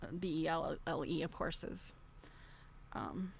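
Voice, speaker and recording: A woman's voice: deep voice, measured pace, creaky, slight background noise. A woman hosting a casual livestream, reading aloud from a book and digressing into chatty, easily amused commentary. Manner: chatty, digressive, often breaking into chuckles.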